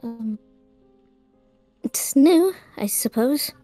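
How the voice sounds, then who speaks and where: A woman playing a timid, polite eight-year-old demigod boy, soft-spoken and hesitant, in a fantasy game roleplay. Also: a little high, lively intonation, drawn-out words, no echo, soft background music, heavily acted.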